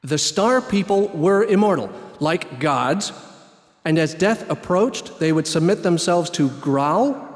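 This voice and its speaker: A man playing a scornful, excitable archaeologist in a video game, condescending and theatrical. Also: on the high side, slow pace, in a large hall. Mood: lecturing, rapt. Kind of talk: video game cutscene acting.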